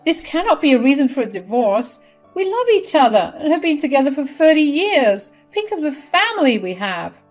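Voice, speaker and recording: A woman's voice: high voice, lively intonation, measured pace, darkish tone, pitched above the performer's own voice. A woman voicing a desperate middle-aged mother-in-law who pleads and whines, over faint music. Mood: begging.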